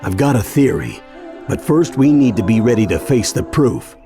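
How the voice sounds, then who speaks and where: Man as eccentric cartoon grandpa: old, genial, gravelly, lively pitch, music behind. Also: moderate pace, lightly acted. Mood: serious. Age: older. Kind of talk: animated game cutscene acting.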